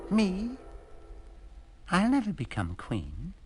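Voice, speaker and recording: A man voicing a frightened poor young woman who becomes queen, in a high, tearful falsetto. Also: wildly swooping pitch, slow pace, breathy edge, soft background music, full character voice. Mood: disbelieving. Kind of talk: storybook reading.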